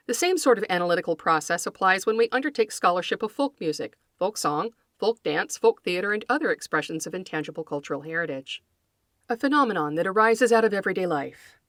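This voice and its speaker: A woman podcast host reading scripted educational narration in a measured, articulate, scholarly manner, close to the microphone. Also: mid-range voice, a bit bright, dry recording. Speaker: podcast host, folklore scholar and fiction writer.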